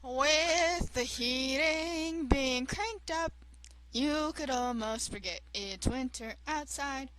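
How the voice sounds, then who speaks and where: High-voiced woman, slow halting read-aloud of game text, some whispered lines. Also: fairly bright tone, rough recording. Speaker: co-player reading aloud. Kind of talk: reading a visual novel aloud.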